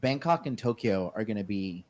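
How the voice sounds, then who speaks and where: A man's voice: on the low side, animated, normal speed. Man, podcast host, blunt, swears a lot, laughs between points. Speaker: dating coach hosting a panel. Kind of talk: casual podcast chat.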